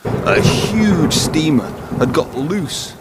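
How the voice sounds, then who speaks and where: A man's voice: on the high side, lively intonation, very slow, very noisy. A man narrating a children's storybook, reading aloud.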